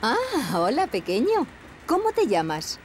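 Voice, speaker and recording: A woman's voice: sits high, wildly swooping pitch, a little slow, noisy background, full character voice. A woman playing a friendly train cook in a Castilian Spanish cartoon dub, welcoming and playful with a child.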